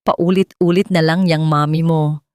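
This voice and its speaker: A woman's voice: low voice, steady tone, measured pace, darkish tone, smooth, no room sound, clean studio sound. A woman voicing a cold, cruel, short-tempered woman in a dramatic story, harsh and commanding as she scolds. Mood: furious shouting.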